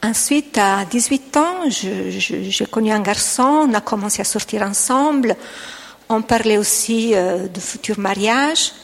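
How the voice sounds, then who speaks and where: Middle-aged woman, Italian accent, gentle halting testimony, reverberant hall. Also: medium pitch, creaky. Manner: gentle, hesitant, with frequent restarts. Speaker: speaker giving testimony. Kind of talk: personal faith testimony to an audience.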